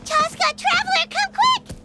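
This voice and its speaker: A woman voicing a tiny, excitable, eager fairy-like sidekick in a video game, with a squeaky childlike voice. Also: moderate pace, faint music behind, exaggerated voice. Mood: urgent. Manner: excitable, exclaiming. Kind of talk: cartoonish video game acting.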